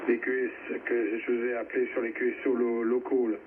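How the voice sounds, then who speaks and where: A man's voice: mid-range voice, flat delivery, brisk. A man from France talking casually on air as an amateur radio operator. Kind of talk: casual ham radio conversation. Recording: tinny narrow shortwave radio, clear static noise.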